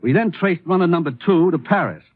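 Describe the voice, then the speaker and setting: A thin, band-limited old radio voice as a grave commissioner. He briefs with businesslike authority and lively intonation, close and dry. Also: on the high side, brisk, breathy edge, dry recording, phone-quality sound. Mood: grave, urgent. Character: earnest, businesslike male government commissioner, the agent's boss. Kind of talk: 1950s radio acting.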